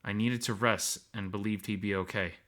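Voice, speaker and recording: A man's voice: on the low side, fairly even intonation, moderate pace, a bit bright. A man reading scripted first-person story narration as the narrator.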